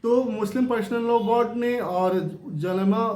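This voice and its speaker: A man with a high-pitched voice talks through the news as a host, unscripted and informal. He explains by repeating himself and adds small rhetorical check-ins. The recording is noticeably roomy and echoey. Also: fairly even intonation, unhurried, darkish tone. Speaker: host of a news discussion channel. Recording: roomy, echoing room sound.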